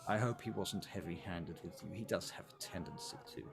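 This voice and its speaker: Man voicing a vampire prince, formal, measured, low voice, gravelly edge. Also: exaggerated voice. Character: suave imperious male vampire prince.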